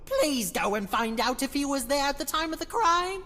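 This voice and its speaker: A woman's voice: high-pitched, big pitch swings, moderate pace, a bit bright, faint music behind. A woman playing an earnest, urgent investigator in a fan-dubbed fantasy game. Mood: serious. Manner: urgent, dramatic. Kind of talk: fan-dub video game acting. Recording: long hall-like reverb.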